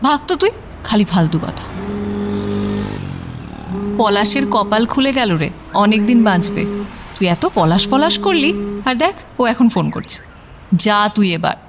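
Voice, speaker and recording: A woman's voice: mid-range voice, big pitch swings, a little slow, long pauses. A woman playing a young professional, a bantering, defensive and devoted girlfriend in an audio story. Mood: teasing, defensive. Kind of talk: audio story dialogue in casual Bengali.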